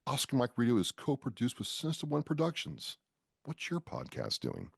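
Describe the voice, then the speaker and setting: A man's voice: mid-range voice, wildly swooping pitch, moderate pace, gravelly, slightly rough, no room sound, clean studio sound. An American man hosting a podcast interview, talking in an enthusiastic, informal way and readily jumping in. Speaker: podcast host.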